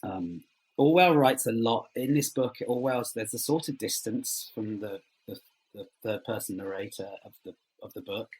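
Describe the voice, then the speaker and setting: A man being interviewed as a writer, speaking reflectively and haltingly as he searches for words. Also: on the low side, husky, rough recording.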